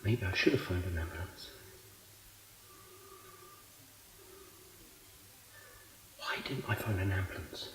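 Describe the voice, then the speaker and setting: A man's voice: deep voice, fast, close to an everyday voice. A man playing an exasperated, guilt-ridden middle-aged character in a colloquial, emotionally volatile stage monologue. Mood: guilty, anguished. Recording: echoey room.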